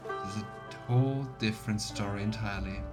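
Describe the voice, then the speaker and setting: A man's voice: fairly low pitch, a little fast, over loud music. A man hosting a live let's play, chatting casually and thinking aloud as he comments. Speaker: streamer, let's play host.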